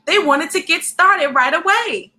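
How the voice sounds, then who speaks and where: An animated, encouraging woman hosting a storybook read-aloud, speaking directly to children in an expressive way. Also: extremely high pitch, a little slow.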